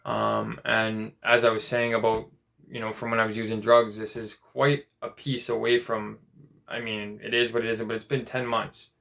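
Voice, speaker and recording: Halting, gravelly-voiced young man. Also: lowish voice, flat delivery, fairly bright tone. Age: 20s. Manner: rambling, halting, with frequent restarts and fillers. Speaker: vlogger. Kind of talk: casual personal vlog.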